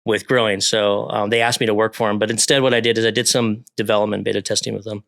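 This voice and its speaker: A man's voice: on the low side, little pitch movement, fast talker, vocal fry, fairly bright tone, no echo, studio quality. A man in his forties from Northern California, a podcast guest talking casually in a rambling way, with lots of fillers and restarts. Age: 40s.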